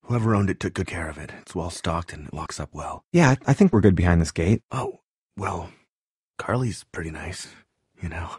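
An earnest, tech-minded survivor in a zombie video game. His voice is deep and very husky, captured close and dry. He talks naturally, a bit awkward and hesitant, with wry matter-of-factness. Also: lively intonation, normal speed, a little mellow, dry recording, heavily acted.

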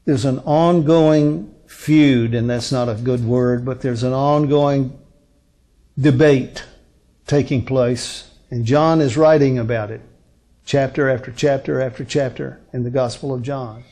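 A man in his sixties preaching as a pastor, teaching from scripture in a deliberate, emphatic manner with rhetorical questions and pauses. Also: mid-range voice, steady tone, dark tone. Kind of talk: sermon, expository Bible teaching from a lectern. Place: indoor lectern on a curtained stage.

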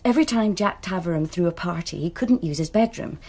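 A woman's voice: on the low side, expressive, a little fast, dark tone, slightly rough. A woman playing a guarded young student in a radio drama, answering curtly. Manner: curt, guarded.

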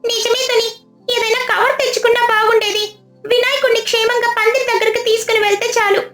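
A woman's voice: extremely high pitch, flat delivery, fast talker, a bit bright, echoey room, faint music behind, pitched above the performer's own voice. A woman voicing an anxious, practical grown-up village bird in a cartoon story, earnest and sing-song. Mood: concerned. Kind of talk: cartoon moral story character acting.

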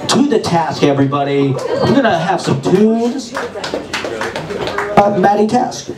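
A man hosting an open mic and loudly announcing the next performers. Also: sits high, big pitch swings. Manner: loud, brisk announcing. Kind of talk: announcing the lineup. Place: small club stage. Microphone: handheld mic seen from far camera. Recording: echoey room from far off with crowd.

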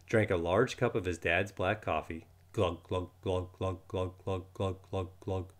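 A man reading a children's storybook aloud in an expressive manner, acting out the words as he goes. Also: low voice, a little slow. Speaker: storybook reader. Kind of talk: children's book read-aloud.

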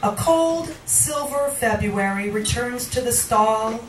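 A middle-aged woman reciting poetry live into a handheld microphone in a small bar, with dramatic, deliberate phrasing and pauses that sometimes turns sing-song. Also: a little high, lively intonation, far from the mic, music in the background. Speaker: featured poet at a reading. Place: small wood-paneled bar venue, indoors. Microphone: handheld microphone, filmed from across the room. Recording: roomy live recording from afar, background noise.

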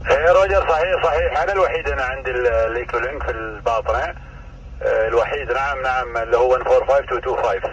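A man's voice: medium pitch, fairly even intonation, rapid-fire, a bit bright. A man with a Gulf Arabic accent speaking over two-way radio, chatting casually and courteously as an amateur operator. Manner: courteous, welcoming, repeats phrases. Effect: two-way radio transmission. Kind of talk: casual ham radio exchange. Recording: muffled, distant radio speaker, faint noise.

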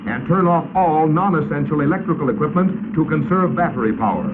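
A man's voice: on the high side, fairly quick, background noise. Tinny 1950s American male training-film narrator. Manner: crisp, authoritative, instructional.

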